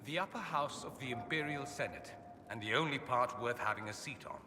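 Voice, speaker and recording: An actor playing an urbane, witty, self-assured aristocrat mage in a video game, articulate, theatrical and sardonic. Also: male voice, mid-range voice, a little fast, bright, forceful tone, natural voice. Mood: lofty, amused. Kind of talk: video game dialogue acting. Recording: echoey hall with ambient noise.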